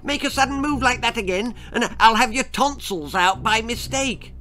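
A man voicing a flighty, excitable young female tooth fairy with a shrill, trilling voice in a children's story. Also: normal speed. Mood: brisk, bossy.

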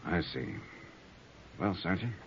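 An actor playing a shrewd, confident insurance investigator in a radio detective drama, an American man reasoning briskly and emphatically. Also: sits low, expressive, husky, dry recording, full character voice. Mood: musing. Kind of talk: 1950s radio detective acting. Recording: muffled old radio recording.

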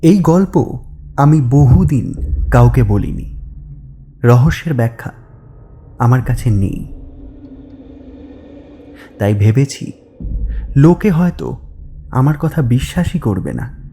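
Slow, mellow male horror narrator. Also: mid-range voice, animated, slight background noise. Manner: deliberate, pausing between short phrases. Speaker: story narrator. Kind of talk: scripted horror story narration.

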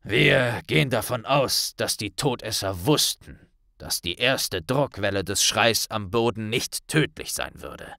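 A male narrator acts out a grave middle-aged government wizard in a fantasy audiobook. He is gruff and weighty, with slow, deliberate phrasing and a forceful edge. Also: lowish voice, animated, dry recording, clean studio sound, full character voice.

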